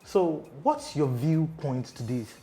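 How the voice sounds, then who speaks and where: A man's voice: fairly high pitch, lively intonation, takes it slowly, dark tone. A man interviewing a guest, asking questions and affirming the answers with frequent hums. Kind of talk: conversational interview.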